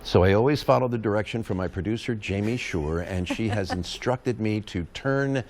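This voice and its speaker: Hoarse older news anchor, low voice. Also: male voice, a little hiss or hum. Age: 70s. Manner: measured, reflective, steady delivery. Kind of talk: live news anchoring and farewell address.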